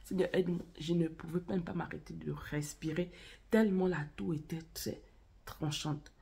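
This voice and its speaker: A woman's voice: low voice, steady tone, normal speed, gravelly, mellow, breathy edge. A woman hosting a casual vlog, chatting directly to viewers and telling a story while she has a cold and a cough. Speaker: channel host. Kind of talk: casual spoken vlog on faith and marriage.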